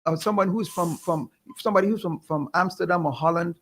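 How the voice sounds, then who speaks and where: A middle-aged man, explaining things slowly and emphatically as an interview guest, often checking in with "right?". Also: high-pitched. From Caribbean. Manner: deliberate, emphatic, explaining with pauses and tag questions. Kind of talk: conversational interview.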